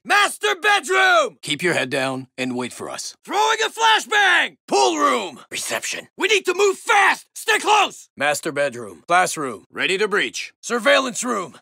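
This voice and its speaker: An actor voicing an armored male shield operator in a video game, giving terse tactical callouts that swing between low murmurs and shouts, recorded dry in a studio booth. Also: creaky, breathy edge, no room sound, heavily acted. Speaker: game character voice. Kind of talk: game voice lines.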